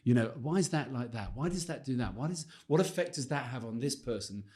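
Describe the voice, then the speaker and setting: A man's voice: medium pitch, expressive, fairly quick, darkish tone. A man hosting a podcast, asking a long, rambling question and affirming his guest. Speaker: podcast host. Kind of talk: interview question.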